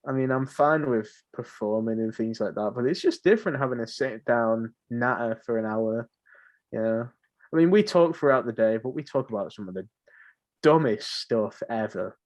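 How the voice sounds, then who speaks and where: A man's voice: mid-range voice, normal speed, a little mellow. Man, rambling casual podcast chat. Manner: rambling, slangy, jokey. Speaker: podcast co-host.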